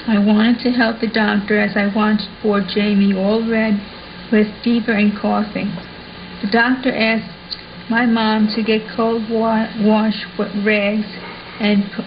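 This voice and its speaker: A woman playing an earnest little schoolgirl reading aloud haltingly in a puppet show. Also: a little high, natural voice. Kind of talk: scripted puppet show recitation. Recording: dull lo-fi sound with faint noise.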